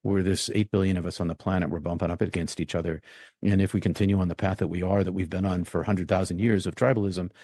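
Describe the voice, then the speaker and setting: A very deep, mellow male voice from the United States, recorded dry and close. He talks as an interview guest in a reflective, rambling way, with frequent ums and restarts as he works through his thoughts. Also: steady tone, fast talker, dry recording. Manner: rambling, thinks aloud with many ums and restarts.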